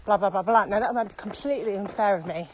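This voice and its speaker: A woman's voice: mid-range voice, fast talker, darkish tone. Woman chatting on a noisy outdoor walk. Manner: questioning, conversational. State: slightly out of breath from walking uphill. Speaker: podcast co-host. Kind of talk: casual reflective chat. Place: outdoors, walking through a pine grove in Morocco. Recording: clearly noisy outdoor walking recording.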